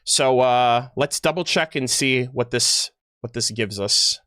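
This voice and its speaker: A man's voice: medium pitch, pushed and bright, smooth, no room sound. Man streaming casually, dry close mic. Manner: halting, rambling, thinking aloud. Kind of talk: casual livestream commentary. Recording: music playing behind voice. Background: music.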